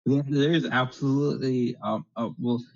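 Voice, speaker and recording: A man's voice: medium pitch, fairly even intonation, normal speed, darkish tone. A man with a Canadian accent chatting casually, rambling and hesitant, often playing himself down. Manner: rambling, hesitant, self-deprecating. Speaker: guest. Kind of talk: casual online chat. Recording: slightly dull lo-fi audio.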